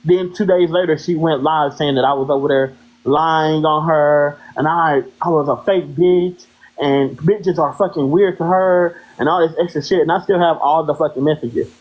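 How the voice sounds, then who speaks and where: Woman, deep voice, blunt, profane, indignant, live-stream guest. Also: steady tone, moderate pace, highs cut off. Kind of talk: heated live-stream talk.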